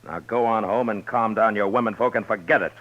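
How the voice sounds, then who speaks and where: An actor playing a stern, level-headed town marshal with a firm, commanding manner in a 1950s radio western. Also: male voice, on the low side, brisk, lightly acted. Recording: dull 1950s radio recording.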